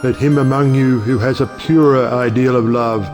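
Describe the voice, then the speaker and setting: An actor playing an eloquent, high-minded judge who speaks in grand, oratorical style in an audio play. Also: male voice, steady tone, normal speed, over music, lightly acted. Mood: impassioned.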